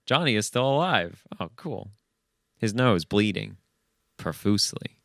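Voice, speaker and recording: A man's voice: lowish voice, animated, unhurried, creaky, a bit bright, no room sound, studio quality. A man co-hosting a comedy podcast, reading a screenplay aloud and breaking off for sardonic, scoffing banter. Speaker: podcast co-host.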